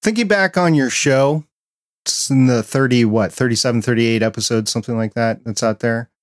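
A man's voice: medium pitch, normal speed, no room sound, studio quality. A man hosting a casual podcast interview in a conversational way. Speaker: podcast host.

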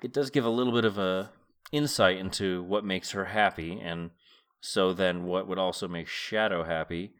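Male podcast host, middle-aged, slightly low pitch. Book narration and informal, reflective solo commentary. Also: normal speed, a bit bright, clean tone. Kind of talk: book read-aloud and casual solo commentary.

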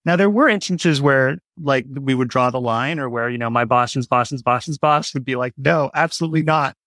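Guy guest talking thoughtfully and casually, lots of vocal fry, dry close sound. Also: medium pitch, normal speed, a bit bright, dry recording. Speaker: interview guest, audio drama writer. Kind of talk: casual reflective explanation.

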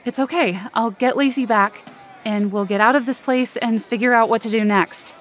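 A woman's voice: on the high side, moderate pace, lightly acted. A woman playing an anxious, desperate woman who narrates her own ordeal urgently in an audio drama. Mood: uneasy, watchful. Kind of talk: first-person present-tense audio drama narration. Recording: noisy outdoor crowd sound effects behind.

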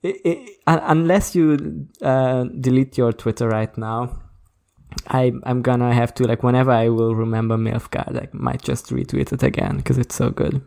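A woman co-hosting a podcast, her first language Hungarian, with a gravelly, fry-touched voice. She rambles along in casual chat, restarting her sentences and leaning on fillers. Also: a bass voice, fairly even intonation, moderate pace, warm tone. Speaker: podcast co-host.

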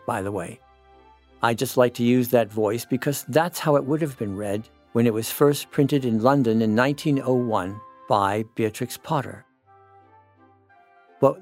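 Animated older American man, explaining. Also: medium pitch. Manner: enthusiastic, explanatory, emphatic. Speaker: retired priest, storyteller. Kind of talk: informal personal commentary to listeners.

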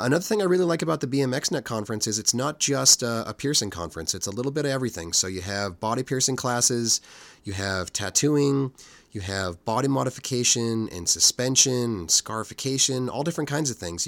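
A man in his forties, hoarse from a cold, hosting a podcast in relaxed, rambling talk full of fillers. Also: medium pitch, fairly quick, dry recording. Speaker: podcast host.

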